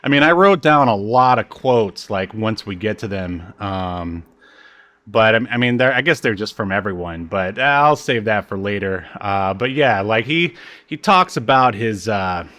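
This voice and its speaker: A man's voice: on the low side, animated, measured pace, pushed and bright. A man co-hosting a podcast in casual conversation, with joking asides. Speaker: podcast co-host. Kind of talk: casual chat about a reality TV show.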